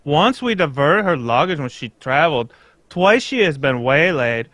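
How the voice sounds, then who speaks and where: A man's voice: fairly high pitch, big pitch swings, moderate pace, a bit bright, no room sound. A man playing an anxious, pompous foreign king in a radio mystery, earnest, in stilted English that drops its articles. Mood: grave, desperate. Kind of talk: old-time radio mystery acting, read live.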